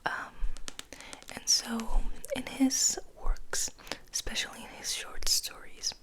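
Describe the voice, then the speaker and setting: A young woman whispering softly and gently in an ASMR style, reading and musing in a hesitant way. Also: takes it slowly. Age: young adult. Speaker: ASMR host, literature student.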